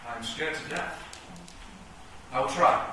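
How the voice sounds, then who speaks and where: A man's voice: a little high, takes it slowly, sharp tone. An actor playing a lovestruck, timid, courtly male chess piece in a theatrical radio play. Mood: nervous. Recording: echoey, distant, noisy stage recording.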